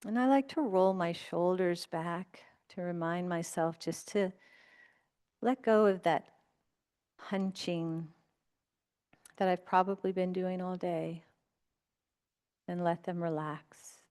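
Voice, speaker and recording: A woman leading a guided meditation as a teacher, speaking in gentle, unhurried instructions with long pauses. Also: fairly low pitch, smooth.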